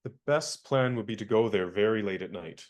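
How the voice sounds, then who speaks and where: A man's voice: fairly low pitch, a little fast, lightly acted. Actor playing a polite young American man. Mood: sheepish. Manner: polite, casual, slightly sheepish. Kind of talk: radio play acting.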